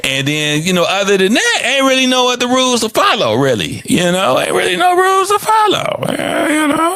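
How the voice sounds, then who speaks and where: Fifties male podcast co-host, swears freely and speaks frankly, with an animated, swinging delivery. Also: very high-pitched, fairly quick, creaky, no room sound. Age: 50s. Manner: blunt and profane, speaks frankly. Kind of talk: casual podcast chat.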